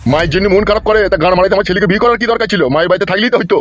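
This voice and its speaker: A man's voice: sits high, very fast, a bit bright. A man voicing a crude, blunt old father-in-law who scolds and mocks in a comedy cartoon, with a rural West Bengal accent. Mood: scolding. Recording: dull, very noisy with clicks.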